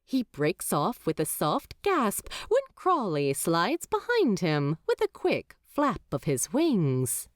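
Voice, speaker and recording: A woman reading scripted fiction as a narrator, in an expressive storytelling style. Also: fairly low pitch, no room sound, studio quality. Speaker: audiobook narrator.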